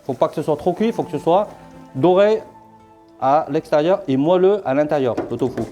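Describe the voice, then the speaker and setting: A middle-aged man walks through his dishes in a restaurant kitchen. His voice is mellow and dark, and he talks haltingly, leaning on fillers and restarts. Faint music plays behind him. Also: on the high side, expressive. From Vietnam. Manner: hesitant, many fillers, halting and repetitive. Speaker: chef. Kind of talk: cooking demonstration.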